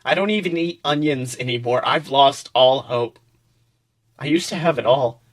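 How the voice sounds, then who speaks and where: A man's voice: medium pitch, moderate pace, close to an everyday voice. A man voicing a gloomy, grumpy ogre who tells his sorrows in a melodramatic, dismissive way. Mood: mournful.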